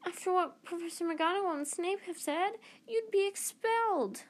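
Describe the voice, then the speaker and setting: An anxious, bossy teenage schoolgirl, voiced by a woman reading aloud with a fretful, high-pitched voice. It sits well above an everyday speaking pitch and comes across as storybook acting. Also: moderate pace. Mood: earnest. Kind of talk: reading a children's novel aloud.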